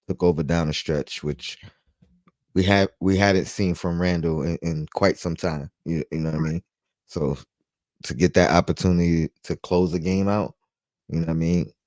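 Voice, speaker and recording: An easygoing man co-hosts a casual fan podcast in a very low voice. He talks in a relaxed way and keeps checking in with "you know what I mean." The recording is dry and close. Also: no echo. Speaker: podcast co-host.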